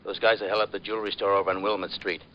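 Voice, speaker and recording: A man's voice: fairly low pitch, little pitch movement, rapid-fire, lightly acted. An actor playing a male police officer reporting in during an old radio drama. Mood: official. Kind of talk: 1940s-50s radio drama acting. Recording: muffled, slightly noisy old radio.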